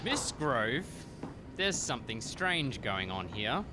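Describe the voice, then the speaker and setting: An actor playing an officious, indignant university lab storekeeper in a radio farce, fussy and pompous. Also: male voice, medium pitch, very animated, slow, over loud music.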